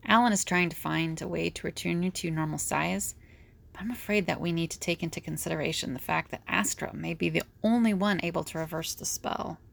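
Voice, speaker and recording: A practical young heroine in an audio drama, played by a woman, explains things drily and is easily exasperated. Her voice sits a little low with plenty of fry. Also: a little fast. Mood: earnest, explanatory.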